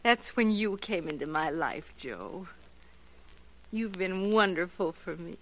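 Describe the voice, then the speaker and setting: Breathless lovestruck radio heroine. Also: female voice, fairly low pitch, lively intonation. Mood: adoring, wistful. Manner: breathless, halting, pleading. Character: lovestruck, lonely rich woman, tremulous and devoted. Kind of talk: 1940s radio acting. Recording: noisy vintage radio drama recording.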